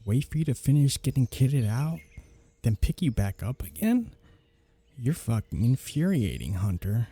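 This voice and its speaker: Casting: male actor as sardonic, flirty vampire for ASMR roleplay, unhurried husky delivery with swooping, lively inflection. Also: medium pitch, no echo, heavily acted. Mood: exasperated, taunting. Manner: teasing, sarcastic, swearing banter. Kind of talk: ASMR roleplay audio drama, one side of dialogue. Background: birdsong, woodland sound effects.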